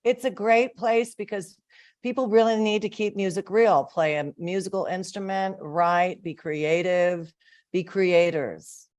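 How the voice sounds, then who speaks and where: A woman's voice: fairly low pitch, fairly even intonation, a little slow, a little mellow. A woman, a musician, chatting casually as an interview guest. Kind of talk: casual chat.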